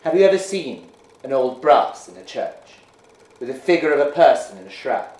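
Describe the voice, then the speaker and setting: A man giving a measured, hushed dramatic reading of a ghost story. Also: mid-range voice, very animated. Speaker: reader. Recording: roomy echo.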